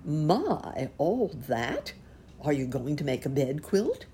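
A woman reading aloud and voicing a polite, kindly old rabbit gentleman in a gentle manner. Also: low voice, very animated, slow pace, a little hiss or hum, heavily acted.

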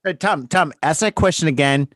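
Male podcast host, high-pitched, very dry recording, informal blunt interviewing with some swearing. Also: moderate pace, fairly bright tone, no echo. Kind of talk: casual interviewing.

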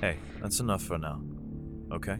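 A man's voice: low-pitched, normal speed, a bit bright, faint music behind. An actor playing a weary, protective father and guarded survivor, with tense, brooding narration in an audio drama. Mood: subdued. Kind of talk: audio drama acting with first-person narration.

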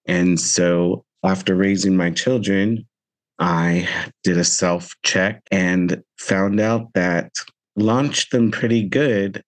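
Man, fifties, American accent, low deep register, slow measured pacing, earnest solo podcast talk. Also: steady tone. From Central Valley, United States. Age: 50s. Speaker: podcast host.

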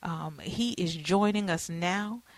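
A woman's voice: lowish voice, slow pace, no echo. A woman hosting a radio show, introducing and interviewing a guest in a conversational way with frequent fillers.

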